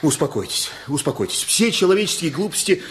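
Simple-hearted older working man for a radio play, rather high-pitched, earnest, folksy pleading. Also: sing-song, a little fast, slight background noise. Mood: soothing, earnest. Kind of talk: Russian radio play acting.

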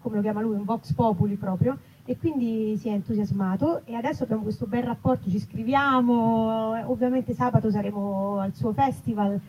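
Woman interviewee, higher voice, hesitant animated storyteller, muffled live recording with noise behind. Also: moderate pace, hardly any pauses. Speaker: writer and actress interviewed about her book. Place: live festival event.

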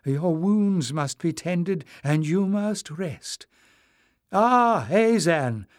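Gracious female leader for a fantasy audiobook, earnest, high, full of joy; male narrator performing. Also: slow pace, dark tone, no room sound, clean studio sound. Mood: caring. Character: gracious, joyful woman leader of a grateful people.

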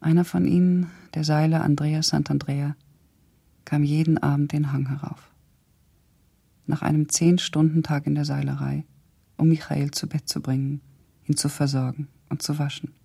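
An actress playing a blunt, sardonic older woman with a husky, gravelly voice, indignantly loyal to an old friend, recounting memories in a German radio drama. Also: low voice, flat delivery, warm tone, exaggerated voice. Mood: earnest, reminiscing. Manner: blunt, sardonic, deliberate storytelling.

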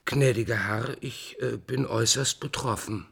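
A man playing a courteous, proud court painter in 1950s German radio drama, speaking in a measured, deferential but pointed way. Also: medium pitch, creaky, fairly bright tone, slightly rough, exaggerated voice. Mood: earnest, hurt pride.

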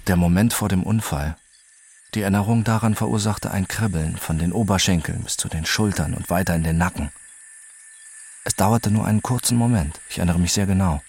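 An actor playing a detached accident survivor who narrates his own story deliberately and precisely in a radio drama. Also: deep voice, a little mellow, breathy edge. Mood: absorbed, calm. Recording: music under the voice.

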